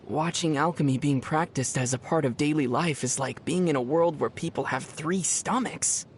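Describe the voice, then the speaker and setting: A man's voice: on the high side, normal speed, a little hiss or hum, close to an everyday voice. A man voicing a young, curious, mild traveler from another world in a video game, musing and understated, with music behind. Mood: puzzled, dry. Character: young male otherworldly traveler, curious, mild, dryly puzzled. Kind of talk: video game character dialogue.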